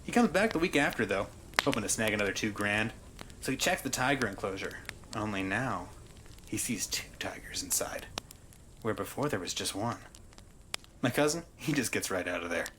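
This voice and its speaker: An actor playing an animated storyteller in an audio drama, relaying a cousin's tall tale and acting out the shouts. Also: medium pitch, moderate pace, natural voice. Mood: animated, conspiratorial. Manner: animated, acts out quoted shouts. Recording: noticeable background noise and ambience.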